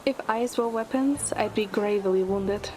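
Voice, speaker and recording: A woman's voice: mid-range voice, moderate pace, a little hiss or hum. A woman reading a scripted first-person dramatic narration. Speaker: narrator and lead in a fan audio drama. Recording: loud music under the voice. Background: music.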